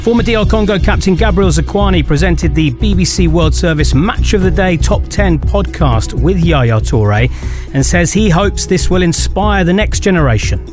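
A man's voice: high-pitched, expressive. Polished male sports newsreader over music. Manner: brisk, polished newsreader delivery. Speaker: sports reporter. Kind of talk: scripted sports news read.

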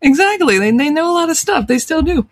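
Upbeat gravelly American woman host. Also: high-pitched, lively intonation, brisk. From United States. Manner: upbeat, laughs easily. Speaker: podcast host. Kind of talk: casual interview chat.

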